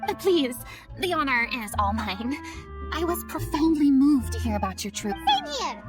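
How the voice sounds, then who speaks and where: A high-voiced young woman of the stage, with very animated, swooping intonation. She is gracious and refined, choosing polite, thoughtful words. Music plays underneath. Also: normal speed. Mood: gracious, flustered. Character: gracious, theatrical young woman, famed stage star turned consultant. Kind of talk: video game voice acting.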